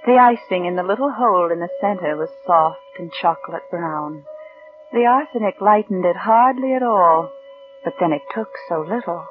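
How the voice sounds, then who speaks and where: A woman's voice: mid-range voice, lightly acted. An actress playing a coldly confident young woman who plots murder, in 1940s radio drama style. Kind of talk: 1940s radio acting, confessional narration. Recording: dull vintage radio recording, music behind.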